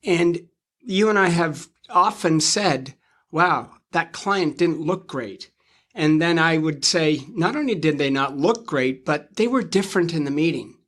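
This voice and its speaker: A man in his fifties with a fairly high voice for a man talks money matters in an easy conversational way. He is measured and thoughtful, often stopping to rephrase before going on. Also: animated, fairly bright tone. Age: 50s. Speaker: financial advisor co-host. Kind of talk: conversational interview.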